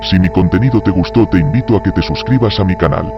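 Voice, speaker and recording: Deep, fast male narrator over music. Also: muffled recording. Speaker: video channel narrator. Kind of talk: scripted true-crime narration.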